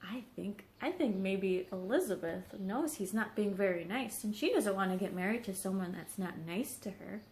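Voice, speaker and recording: A woman reading a children's story aloud as a teacher, expressive, putting questions to young listeners. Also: mid-range voice, normal speed, hardly any pauses. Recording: roomy echo on the voice.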